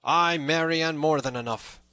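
A man's voice: sits low, level tone, normal speed, bright, forceful tone. A man voicing a proud but beaten outlaw fighter, roaring and grumbling in archaic storybook speech. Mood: sore, grudging.

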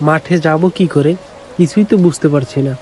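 A man voicing a kind, poor farmer who is a father and speaks gently and with care. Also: on the high side, brisk, soft background music, natural voice. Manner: gentle, caring.

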